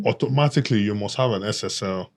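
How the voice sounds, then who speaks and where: A man's voice: on the low side, quick pace. A man in a casual podcast chat, jokey and asking questions.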